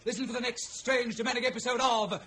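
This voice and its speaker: A man's voice: very high voice, fairly even intonation, fast talker, bright, forceful tone, slight background noise. A man narrating an old radio adventure serial as a dramatic announcer. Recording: old radio broadcast with music. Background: music.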